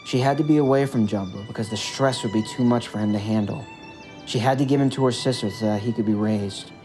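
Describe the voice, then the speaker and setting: A man reading a scripted story as its narrator, with music behind his voice. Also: mid-range voice.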